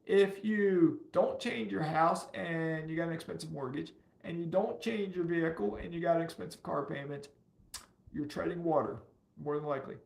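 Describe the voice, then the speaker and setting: A man in his fifties speaks in a fairly high-set voice with a creaky, slightly hoarse edge. He chats casually as a livestream host, repeating key points for emphasis. The room adds a noticeable echo around him. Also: normal speed, a little mellow. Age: 50s. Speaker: personal finance livestream host. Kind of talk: casual livestream chat answering viewers. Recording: roomy, echoey sound.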